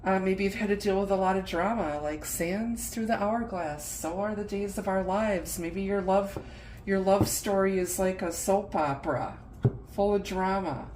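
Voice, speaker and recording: A woman's voice: medium pitch, moderate pace, darkish tone, reverberant, slight background noise. A middle-aged woman, a little stuffy from the flu, giving a casual tarot reading and musing aloud over the cards. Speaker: tarot reader.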